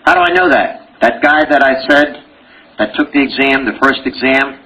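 A man's voice: mid-range voice, expressive, normal speed, pushed and bright, a little hiss or hum, rough recording. A man teaching a medical exam review lecture, joking and quizzing his audience with rhetorical questions.

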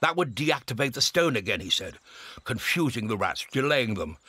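A man narrating an audio drama as a grave, husky storyteller of a wartime sci-fi adventure. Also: medium pitch, sing-song, moderate pace, sharp tone, full character voice. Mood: grave, suspenseful.

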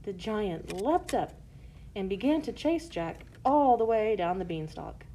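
Mellow woman narrating a children's story. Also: medium pitch, big pitch swings, a little slow, a little hiss or hum.

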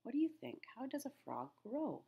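A woman's voice: high voice, huge pitch swings, fairly quick, gravelly, warm tone, slight background noise. A middle-aged woman teacher reading a children's nature book aloud, phrasing things simply and putting questions to young listeners.

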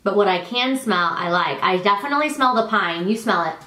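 A woman's voice: mid-range voice, expressive, brisk, reverberant, poor audio. A woman in her thirties, stuffy from allergies, chatting casually to camera about products she sells. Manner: chatty, self-deprecating, warm toward her child. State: congested from allergies. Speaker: product vlogger and consultant. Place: living room, indoors.

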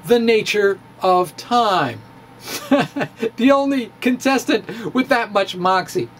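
A man's voice: high voice, a little hiss or hum. A middle-aged man giving a dramatic reading of his own physics blog, wry and theatrical, with playful asides, at a stand mic in a small room. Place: small room in front of bookshelves. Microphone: studio mic on stand in front of him.

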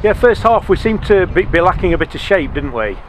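Chatty interviewer, slightly high voice, outdoors. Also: male voice, big pitch swings, a little fast. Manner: informal, leading questions with tag endings. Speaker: club media interviewer. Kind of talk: post-match interview questions. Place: outdoors beside a football pitch. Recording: noisy outdoor recording with traffic.

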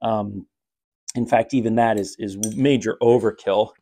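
Relaxed man filming his garage. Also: on the low side, unhurried. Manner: relaxed, rambling with many fillers. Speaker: home gym vlogger. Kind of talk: casual how-to walkthrough. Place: home garage, then attic. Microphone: handheld phone camera.

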